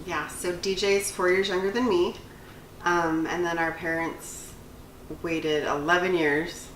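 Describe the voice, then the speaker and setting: A woman with a notably low voice for her sex, in her late thirties, talks in a rambling way full of "um" and "like" as she co-hosts a casual vlog. She is recorded at a distance in an echoey room with faint room noise. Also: fairly even intonation, measured pace, a bit bright. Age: 30s. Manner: rambling, filler-heavy. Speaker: cosmetologist and instructor, channel co-host. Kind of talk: casual chat.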